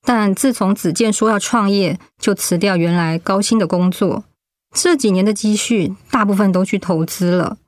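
A middle-aged wife and mother, played by a woman in a radio drama, proud and stubborn. She talks bluntly with a sardonic edge at a medium pitch. The sound is close and dry. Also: moderate pace, darkish tone, no echo, close to an everyday voice. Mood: bitter, resigned.

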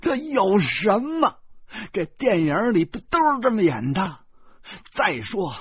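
A man voicing a weepy, aggrieved younger brother who wails in a high, sobbing voice. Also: big pitch swings, slow pace, husky, no echo, highs cut off, exaggerated voice. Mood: indignant. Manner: wailing, high-pitched, sobbing.

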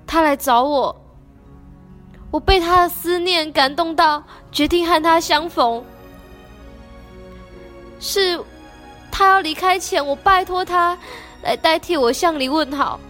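A woman playing a lovelorn young ghost princess in an amateur radio drama, halting and plaintive, with a Taiwanese Mandarin accent. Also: extremely high pitch, a bit bright, heavily acted. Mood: earnest, regretful. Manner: halting, plaintive, repeats words. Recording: background music under the voice.

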